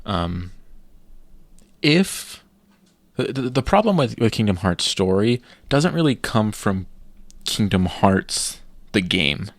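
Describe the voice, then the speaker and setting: A man co-hosting a podcast in casual chat, giving opinions with halting restarts. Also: on the low side, big pitch swings, no echo. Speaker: podcast co-host.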